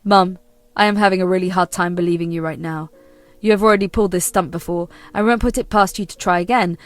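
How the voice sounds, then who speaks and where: A woman voicing a patient grown son who answers his mother in a measured, firm way. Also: on the low side, steady tone, no echo, soft background music, close to an everyday voice.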